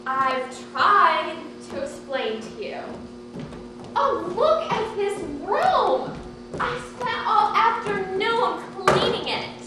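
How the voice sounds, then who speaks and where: A woman's voice: very high voice, big pitch swings, slow pace, music in the background, heavily acted. A woman playing a fussy, genteel aunt in a stage comedy, gushing and lecturing in a theatrical manner. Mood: exasperated, shrill. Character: fussy, genteel Southern aunt coaching her shy niece. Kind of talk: stage acting in a one-act comedy. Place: theater stage, recorded from a distance. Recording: echoey stage, distant mic, hissy background noise.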